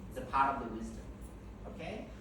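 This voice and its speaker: A man, a Buddhist monk, teaching a group in slow, emphatic, non-native English and leading them in repeating terms. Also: medium pitch, sharp tone. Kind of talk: teaching a group, call and response. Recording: echoey room, from far off, with noise.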